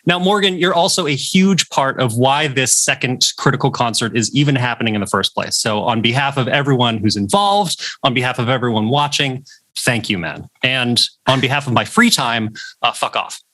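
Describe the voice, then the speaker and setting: A playful male host with a bright, forceful voice that sits at a middle pitch. He teases his guests and laughs easily. The sound is dry and close. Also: animated, dry recording. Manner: playful, joking, quick to laugh. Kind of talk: casual interview chat.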